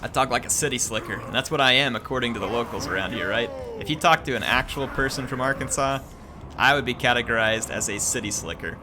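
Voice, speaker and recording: A man's voice: mid-range voice, fast, background noise. A man hosting a gaming channel, giving playful, jokey live commentary on a battle and chatting with his viewers.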